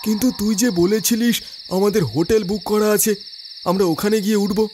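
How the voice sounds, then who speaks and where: A man voicing a young male student, a teasing and questioning friend in an animated horror story. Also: sits high, brisk, noisy background, lightly acted. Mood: teasing, doubting.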